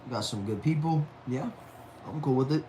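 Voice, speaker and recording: A man's voice: medium pitch, expressive, moderate pace, warm tone, slight background noise, low-quality recording. A man of about twenty chatting casually on a livestream at home, reading viewer messages aloud in a laid-back, talkative way, dropping "y'all" and "man". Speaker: livestream host.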